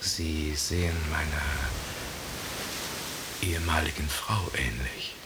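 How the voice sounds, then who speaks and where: Slow, low-voiced, gossipy male shopkeeper. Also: heavy background noise. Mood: melancholy, slow. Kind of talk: German radio play acting.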